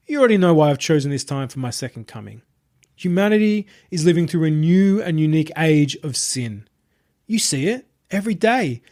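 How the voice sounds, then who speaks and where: A man voicing a smooth, possessed internet idol who preaches to followers with calm, husky, grandiose assurance in an audio short story. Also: on the high side, pitched above the performer's own voice, close to an everyday voice. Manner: calm, composed, grandiose, soothing patter to followers.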